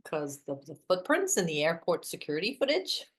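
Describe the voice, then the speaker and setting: An actress playing an awkward, literal-minded young woman in a play reading, a hopeless romantic with a hesitant, wry deadpan manner. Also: lowish voice, a little fast. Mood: dry, hopeful. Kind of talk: two-hander stage play reading.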